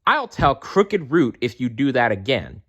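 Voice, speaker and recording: A man voicing a tiny female elf in a storybook, earnest, wide-eyed and excitable. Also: medium pitch, unhurried, husky, highs cut off, voice pushed up, heavily acted. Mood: cross.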